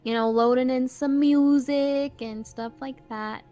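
A woman's voice: sits high, fairly even intonation, a little slow, mellow. A woman playing an easygoing, reassuring tinkerer in an improvised Minecraft roleplay, speaking casually. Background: background music.

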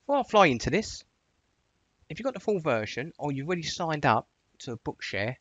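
A man narrating a step-by-step software tutorial in an informal, chatty instructional way. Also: on the high side, sing-song, brisk, no echo. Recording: dull, lo-fi, dry close recording.

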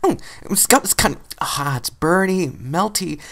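A man voicing an excitable male rat gourmet in a cartoon fandub, passionate and fussy about food, gushing and stammering. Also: sits high, measured pace, gravelly, full character voice. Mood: thrilled. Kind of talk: animated film fandub acting.

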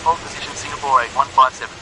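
Man playing game airport radio operator, very quick, formal, impersonal, clear hiss and aircraft noise. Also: mid-range voice, fairly even intonation, natural voice. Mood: businesslike. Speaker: airline pilot and air traffic controller. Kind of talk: clipped procedural aviation radio phraseology.

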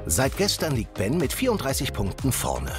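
A man's voice: medium pitch, wildly swooping pitch, moderate pace. A man reading a scripted, playful voice-over for a TV show. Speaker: narrator. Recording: music under the voice-over.

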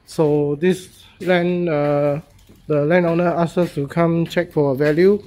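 Man, slow unhurried delivery, fairly high voice, hesitant phrasing, filmed on a handheld phone. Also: steady tone, dark tone. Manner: halting, repetitive phrasing with many fillers. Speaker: real estate agent. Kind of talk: casual property walkthrough. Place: walking through a house and yard, in and out of doors.

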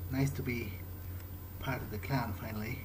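A man's voice: mid-range voice, fairly even intonation, normal speed, heavy background noise. A man roleplaying through a video game, thinking aloud in character in a hesitant, understated way. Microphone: voice-over on game capture.

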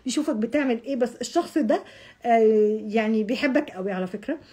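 A hoarse, husky Egyptian woman rattles off a horoscope reading at a quick clip. Her voice sits fairly high and sounds rough and breathy. She talks straight to the viewer in a chatty way and repeats herself. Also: mellow. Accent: Egyptian Arabic. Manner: chatty, repeats words, addresses the viewer directly, gestures with hands. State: hoarse from shouting. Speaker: astrology channel host. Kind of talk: casual monthly horoscope reading. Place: home room with curtain backdrop.

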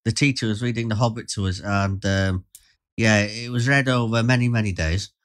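A man's voice: fairly low pitch, steady tone, moderate pace, fairly bright tone. A man chatting casually and agreeably as a podcast panelist. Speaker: podcast panelist and amateur actor. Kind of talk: casual chat.

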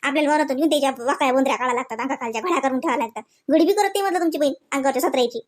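A woman voicing a shrill-pitched, fast-grumbling young village wife. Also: lightly acted. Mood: aggrieved. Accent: Varhadi Marathi. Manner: complaining, rambling, exasperated. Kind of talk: comedy cartoon dialogue.

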